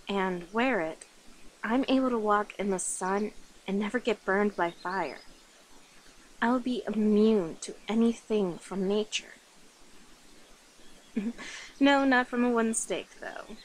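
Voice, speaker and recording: A woman acts out an ancient female vampire in an ASMR roleplay, speaking slowly at a medium pitch. She confides coyly in her human lover, leaving pauses between short phrases. There is noticeable noise behind the voice. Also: lightly acted, rough recording. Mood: patient, explaining, then wry, playful. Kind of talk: ASMR roleplay, addressed to the listener.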